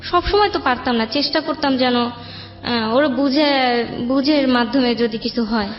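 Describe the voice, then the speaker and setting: A Bangladeshi woman telling her personal life story as an interview guest, in halting, colloquial speech that often repeats itself. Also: high-pitched. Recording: echoey room, dull sound, faint music.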